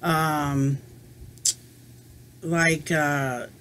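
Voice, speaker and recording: A woman's voice: low voice, fairly even intonation, drawn-out words, a bit bright, slight background noise. Rambling woman in her fifties chatting to camera. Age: 50s. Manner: rambling and reflective, many "you know" fillers. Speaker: crochet vlogger answering a tag. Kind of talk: casual chat to camera. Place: small room at home, indoors. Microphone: webcam at desk distance.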